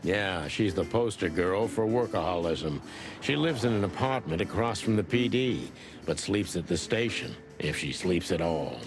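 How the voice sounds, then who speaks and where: Low-voiced, world-weary rooster detective. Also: male voice, animated, normal speed. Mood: dry, friendly. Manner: dry, hard-boiled, sardonic. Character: world-weary, wry veteran rooster detective, noir narrator. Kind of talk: noir video game acting. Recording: music under the voice.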